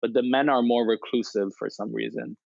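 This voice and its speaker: A man's voice: medium pitch, fairly even intonation, a little fast, gravelly, a little mellow, dry recording. Guest voice: young man, dry dull sound, reflective and candid, loose conversational delivery. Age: 20s. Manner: open, reflective, many "you know" fillers. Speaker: podcast guest, poet and influencer. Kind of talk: casual interview chat.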